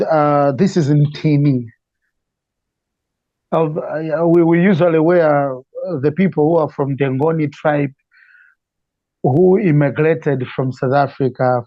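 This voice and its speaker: A man's voice: fairly high pitch, fairly even intonation, a little slow, no room sound, lo-fi sound. A man from Malawi with a Malawian accent, answering conversationally as a musician being interviewed. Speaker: interview guest, reggae singer.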